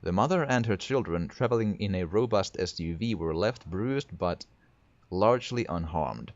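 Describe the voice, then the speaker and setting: A man's voice: low-pitched, animated, normal speed, no room sound, highs cut off. A man with a Finnish accent narrating his horror game playthrough, thinking aloud with frequent stammering restarts. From Finland. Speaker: gaming video creator. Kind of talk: horror game let's play commentary.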